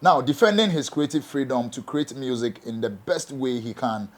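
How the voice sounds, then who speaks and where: A man's voice: medium pitch, moderate pace. A man with a Ghanaian accent hosting an entertainment talk show and presenting music news. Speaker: show host and MC.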